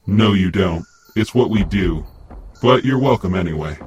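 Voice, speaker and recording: A man playing a masked superhero in an audio drama, speaking in a lowered, disguised voice. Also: lively intonation, normal speed, lo-fi sound, a crowd in the background. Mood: commanding, guarded. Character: masked young male superhero using a voice changer.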